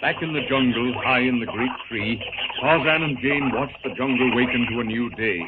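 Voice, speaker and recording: A man's voice: medium pitch, normal speed. A man narrating a 1930s radio adventure serial in a formal, dramatic storytelling manner. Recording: tinny, noisy 1930s radio recording.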